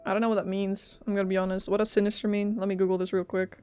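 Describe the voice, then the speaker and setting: A woman's voice: mid-range voice, little pitch movement, rapid-fire, mellow, faint music behind. A woman streaming a game, reacting casually in a playful, candid, chatty way. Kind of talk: casual gameplay reaction and reading along.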